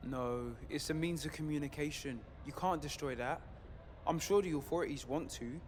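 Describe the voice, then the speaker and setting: Casting: male actor for determined rebel, unusually high male voice, intense measured delivery, long reverb behind. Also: lightly acted. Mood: quiet conviction. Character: earnest, determined male rebel in dystopian city. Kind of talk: audio drama dialogue. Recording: long reverb, faint background noise.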